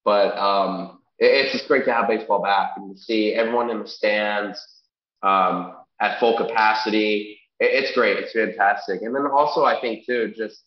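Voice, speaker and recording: A man's voice: lowish voice, normal speed. A young man with a congested, nasal voice, co-hosting a sports podcast in a casual chatty way, with frequent ums and restarts. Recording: echoey room, dull lo-fi sound.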